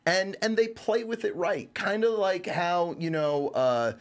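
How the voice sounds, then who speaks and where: A man with a slightly high voice talks through a review in an informal way. He rambles conversationally and speaks in loose, everyday language. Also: a little slow. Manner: rambling, informal, swears casually. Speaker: reviewer on a show. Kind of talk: casual conversational review of an animated series.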